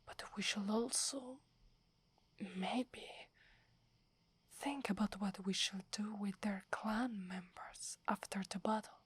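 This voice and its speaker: A woman with an Italian accent playing a submissive, earnest female werewolf in a soft, breathy, hesitant ASMR whisper. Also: mid-range voice, fairly even intonation, no room sound. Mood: respectful, tentative. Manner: hesitant, respectful, measured.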